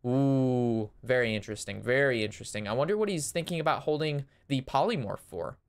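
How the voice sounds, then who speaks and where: Excitable young man casting a card game. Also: medium pitch, brisk, clear, resonant tone. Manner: excitable, analytical, talks through plays. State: voice strained from long talking. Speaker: Hearthstone caster reviewing a match. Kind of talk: casual game commentary.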